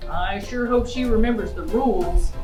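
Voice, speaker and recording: A woman voicing a caring, fussy father in a children's puppet show, in a gentle, folksy, deliberate manner. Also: medium pitch, echoey room, soft background music, natural voice. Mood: fussing.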